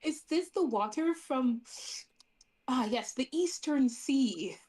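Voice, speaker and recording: Girl player in a tabletop rpg, kinda high voice, playful casual improv chat. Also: expressive, takes it slowly, darkish tone, husky. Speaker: player in a tabletop role-playing game.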